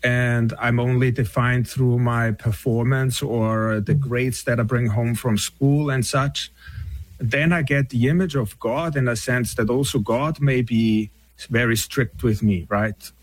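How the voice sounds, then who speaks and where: A man's voice: mid-range voice, steady tone, unhurried, no echo. Man, reflective and dry. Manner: reflective, thoughtful with frequent "right?" tags. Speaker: guest counselor and coach. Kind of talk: conversational interview.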